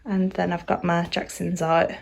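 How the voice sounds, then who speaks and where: A woman with a slightly low voice gives a relaxed, meandering tour of her small studio. She sounds nasal and bunged up from a cold, and her talk wanders along with lots of little fillers. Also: normal speed, vocal fry. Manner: chatty and rambling, full of "like" fillers. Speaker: artist vlogger. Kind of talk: casual studio tour vlog. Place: small home art studio.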